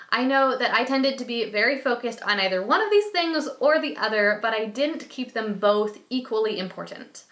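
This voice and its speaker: A woman's voice: a little high, pushed and bright, reverberant. A woman in her late twenties, slightly sick with a froggy voice, giving chatty, earnest business advice to camera. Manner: chatty and earnest, laughs lightly. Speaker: business YouTuber. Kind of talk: casual advice talk to camera.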